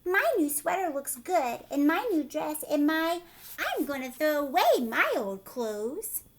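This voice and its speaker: A carefree, self-absorbed lady animal out of a picture book, acted by a woman. Her voice is high and airy, bouncing around in pitch as she shows off. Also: sing-song, unhurried, heavily acted. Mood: self-satisfied. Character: vain, carefree female animal shopper in storybook town.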